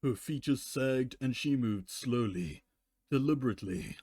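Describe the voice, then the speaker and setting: Unhurried man reading aloud on stream. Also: mid-range voice, expressive, dark tone, slight background noise. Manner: profane, joking. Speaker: fan fiction reader on a livestream. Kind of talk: storybook narration with casual asides.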